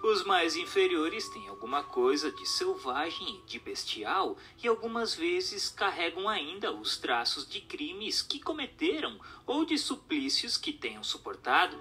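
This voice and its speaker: Expressive male narrator, higher-pitched. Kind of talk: scripted audiobook-style narration of a spiritist text. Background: music.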